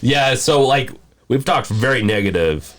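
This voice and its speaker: A man's voice: mid-range voice, animated, unhurried. A man co-hosting a casual podcast, thinking aloud in a loose, rambling way as he gives opinions. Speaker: podcast host.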